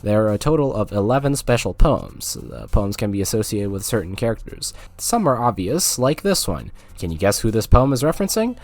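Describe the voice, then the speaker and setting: A low-pitched male voice with very animated intonation delivers a scripted game review. He is jokey and slips in sarcastic, playful asides as he narrates. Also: brisk, hardly any pauses.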